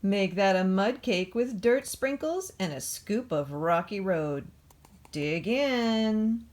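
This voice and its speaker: An eager storybook backhoe loader, proud of its dirty work, as a woman reads it out. The delivery is playful and emphatic, very slow and deliberate, with words set well apart. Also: mid-range voice, expressive, darkish tone. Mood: cheerful, boastful. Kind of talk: picture-book read-aloud.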